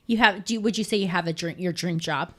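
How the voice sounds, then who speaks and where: A woman's voice: fairly low pitch, quick pace. A woman hosting a casual interview, a chatty storyteller who laughs as she talks. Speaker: podcast host.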